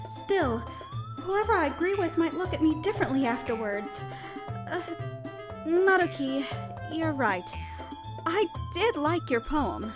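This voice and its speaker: A woman's voice: high voice, very animated, a little slow, pauses often. A woman playing an anxious, earnest young female student who narrates a visual novel audio drama in a dramatic, hesitant way. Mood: exasperated, anxious, then earnest, firm. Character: anxious, exasperated young female student, new club member. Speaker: voice actor narrating and playing the lead. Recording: music behind the voice.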